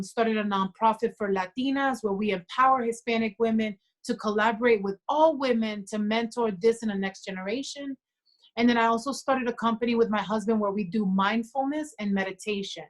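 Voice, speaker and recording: A woman in her forties, a podcast guest, talking earnestly and reflectively with quiet conviction. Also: fairly high pitch. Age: 40s. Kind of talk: casual conversation.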